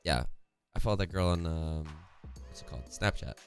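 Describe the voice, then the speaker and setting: Hoarse low-voiced young male caster. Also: brisk, faint music behind. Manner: chatty, reads chat aloud, laughs often. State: hoarse, voice worn out. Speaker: esports caster. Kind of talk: solo esports stream casting, chatting with chat. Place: home streaming room, indoors. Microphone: studio condenser mic close in front, headphones.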